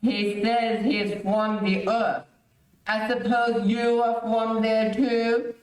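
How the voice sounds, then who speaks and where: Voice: mid-range voice, level tone, slow, natural voice. An actor playing an imperious, stern rebel prince in an old radio serial, declaiming commands. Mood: stern, commanding. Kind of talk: 1930s radio serial acting. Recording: echoey room, distant microphone.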